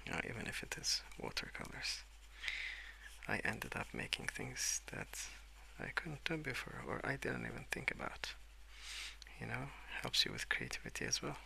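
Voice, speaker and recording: A man speaking in a soft, hushed ASMR ramble, drifting between murmur and whisper in a hesitant, unhurried way. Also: mid-range voice, wildly swooping pitch, gravelly, a little hiss or hum, rough recording. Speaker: host of an ASMR colouring channel. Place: indoors at a wooden desk.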